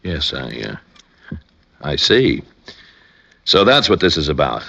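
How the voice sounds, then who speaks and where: A man's voice: extremely low pitch, big pitch swings, takes it slowly, vocal fry, bright, forceful tone, full character voice. An actor playing a retired, older businessman under suspicion, courteous but hesitant and stammering, in old-time radio style. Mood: uneasy. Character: uneasy, polite retired businessman, evasive suspect and husband. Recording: dull, muffled old radio recording.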